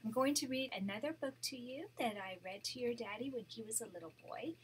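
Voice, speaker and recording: An older woman, a grandmother, reading a children's story aloud to her grandchild, animated and pausing to point out the pictures. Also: fairly high pitch, a little hiss or hum.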